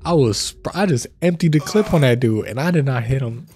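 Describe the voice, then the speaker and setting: A man with a stuffy nose from a cold, hosting casual gameplay commentary, chatty and prone to excited outbursts. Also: mid-range voice, fairly quick, slight background noise. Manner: chatty, addresses viewers as fellas, excited outbursts. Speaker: gaming channel host. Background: faint game gunfire.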